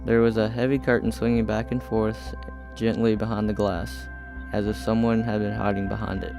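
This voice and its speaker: A young man narrates a radio story from a script. His voice sits fairly low and stays even, with soft music underneath. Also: moderate pace, gravelly, low-quality recording. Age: teenager or young adult.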